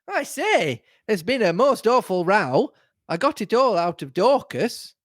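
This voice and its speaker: Man doing an eager, high-voiced gossipy young woman. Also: sing-song, unhurried, no echo, clean studio sound.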